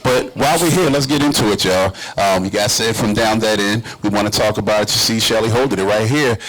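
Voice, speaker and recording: A man with a fairly low voice co-hosts a live talk show. He announces and introduces in an emphatic hype-man style, talking casually between prompts. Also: a little fast, fairly bright tone, poor audio. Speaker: co-host.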